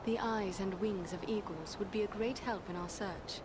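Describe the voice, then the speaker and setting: An actress playing a determined, courteous adventurer in a fantasy game, speaking in a poised, formal way. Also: female voice, medium pitch, normal speed, background noise, close to an everyday voice. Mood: courteous, earnest. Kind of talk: fantasy game cutscene acting.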